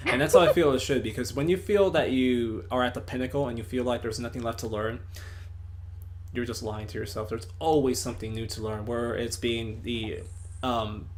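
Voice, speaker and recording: A man interviewing a guest over a voice call, speaking in an earnest, motivational way. He likes to sum things up with sayings. Faint noise hangs in the background. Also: medium pitch. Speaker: interviewer, host. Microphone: computer mic on a voice call.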